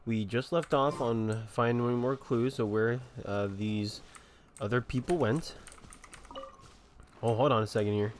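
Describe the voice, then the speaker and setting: A man giving casual, halting gameplay commentary, often repeating himself. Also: mid-range voice, fairly even intonation, a little hiss or hum. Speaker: video game streamer.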